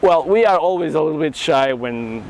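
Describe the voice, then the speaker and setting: A man with a Portuguese accent and Portuguese as his first language, telling his story in halting English as an interview guest. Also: fairly high pitch, lively intonation, darkish tone, a little hiss or hum, poor audio. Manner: earnest, halting non-native English with many restarts. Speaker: karate champion and entrepreneur, guest.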